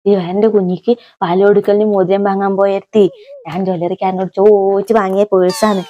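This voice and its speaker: A woman acts as a middle-aged village auntie from north Kerala, with a mid-pitched voice and a broad Kannur accent. She rambles on in a homely, folksy way, talking fondly to her viewers as if they were her children in a comic mock vlog. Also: flat delivery, a little fast, warm tone, highs cut off, close to an everyday voice. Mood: homely, confiding. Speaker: comic vlogger character.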